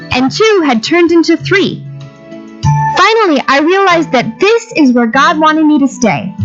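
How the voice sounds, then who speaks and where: High, animated young American actress as missionary teacher. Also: female voice, highs cut off. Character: warm young American woman missionary teacher aged twenty-five. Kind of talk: scripted first-person testimony narration.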